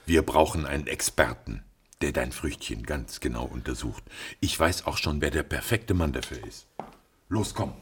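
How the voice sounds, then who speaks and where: An actor playing a decisive, colourful friend in a German radio drama, with a brisk, urging manner. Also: male voice, very deep voice, expressive, fairly bright tone, a little hiss or hum.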